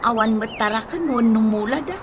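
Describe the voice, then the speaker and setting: An actress playing a practical, chiding village wife in an Ilocano radio comedy drama, with an Ilocano accent and a questioning, conversational delivery. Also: female voice, on the high side, fairly quick, lightly acted. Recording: noisy radio drama with ambience.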